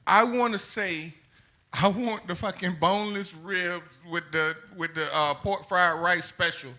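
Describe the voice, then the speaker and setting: A man's voice: high voice, measured pace, pushed and bright. A man co-hosting a podcast, ranting and roasting in casual, profane, opinionated banter. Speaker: podcast co-host.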